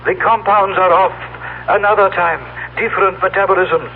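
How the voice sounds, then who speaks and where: An actor playing a solemn, proud telepathic being from the future, speaking in formal, precise, stilted phrases as in old radio drama. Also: male voice, high-pitched, fairly even intonation, normal speed, close to an everyday voice. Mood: solemn, explanatory. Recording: tinny, noisy old radio broadcast recording.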